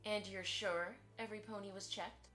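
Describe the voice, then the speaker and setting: A woman's voice: mid-range voice, fairly even intonation, normal speed, pushed and bright, soft background music, close to an everyday voice. A woman playing a polite, chatty pony mother who keeps the peace in an audio drama.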